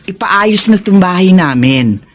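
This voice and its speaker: An animated Filipino mother. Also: fairly low pitch, a little slow. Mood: friendly. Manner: motherly, chatty. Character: warm-hearted middle-aged mother urging on her children. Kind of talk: Filipino radio drama acting.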